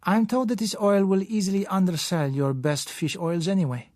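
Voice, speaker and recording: A male narrator performs a suave South businessman for an audiobook. His pitch sits raised, his phrasing is measured and courteous, and he slips in soft pauses as he insists. The sound is dry. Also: steady tone, dry recording, close to an everyday voice. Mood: gently persuasive. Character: suave, sly South American businessman, polite and gently insistent. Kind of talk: audiobook character voice.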